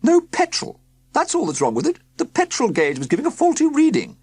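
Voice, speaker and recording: A reader voicing an eccentric middle-aged aunt who chatters and grumbles in a shrill, high voice. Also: female voice, huge pitch swings, brisk, darkish tone, slightly rough, no echo, heavily acted. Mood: grumbling chatter.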